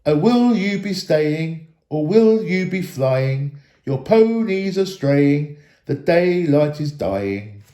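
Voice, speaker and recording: A man voicing merry, teasing woodland elves in a playful, drawn-out storybook sing-song. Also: on the high side, big pitch swings, mellow. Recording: echoey room.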